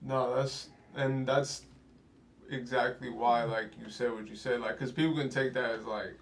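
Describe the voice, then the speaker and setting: A man's voice: mid-range voice, fairly even intonation, normal speed, creaky, slight background noise. A man hosting a casual podcast chat, using laid-back slang and backing up his guests.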